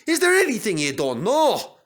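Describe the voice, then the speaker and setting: Storybook steam engine, Scottish, male: earnest, excitable, high and bright played by a male reader. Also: normal speed, exaggerated voice. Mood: astonished. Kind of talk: children's storybook character voice.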